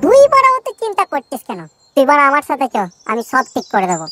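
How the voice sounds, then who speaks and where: A man voicing a scheming, teasing, foul-mouthed cartoon buddy with a West Bengali accent and a pitched-up effect. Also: huge pitch swings, quick pace, bright, forceful tone, faint music behind, full character voice. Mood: self-assured. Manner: teasing, self-assured. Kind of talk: crude comedy cartoon dialogue.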